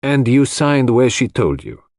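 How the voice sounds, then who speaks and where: A man plays a brisk, commanding detective in an audiobook mystery. His pitch is raised above a narrator's and swings widely as he questions precisely and formally, unshakeably sure of himself. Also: sing-song, a little mellow, no echo, studio quality. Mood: sharp, probing.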